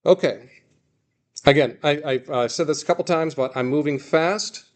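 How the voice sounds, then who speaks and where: A man's voice: on the high side, normal speed. Man teaching a class, reassuring and informal. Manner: reassuring, often checks understanding with "okay" and "right"; repeats and emphasizes key points. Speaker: language teacher.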